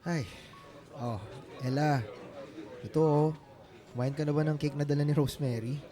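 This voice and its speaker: An actor with a Filipino accent playing an earnest, smitten ex-boyfriend in his late twenties, speaking haltingly in a radio drama. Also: fairly high pitch, steady tone, close to an everyday voice. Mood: friendly, casual. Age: 20s. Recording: music bed behind the voice.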